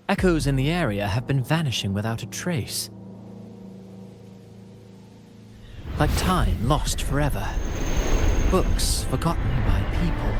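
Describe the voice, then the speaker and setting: A man's voice: mid-range voice, natural voice. Guy playing a polite theatrical playwright, wordy n poetic, game dialogue w music under it. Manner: eloquent, poetic, measured phrasing. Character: courteous, wordy male playwright with a theatrical flair.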